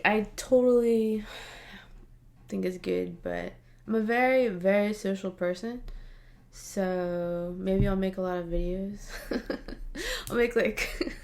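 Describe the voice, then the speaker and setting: A woman in her late thirties talking casually to camera in a personal vlog, chatty and laughing often, with frequent fillers. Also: mid-range voice. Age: 30s. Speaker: vlogger.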